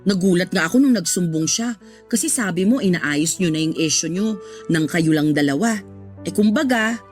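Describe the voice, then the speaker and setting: An actress playing a sassy, indignant and supportive woman friend in a radio drama, talking colloquially with expressive exclamations. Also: lowish voice, moderate pace, faint music behind, lightly acted. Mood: concerned, indignant. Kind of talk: Tagalog radio drama acting.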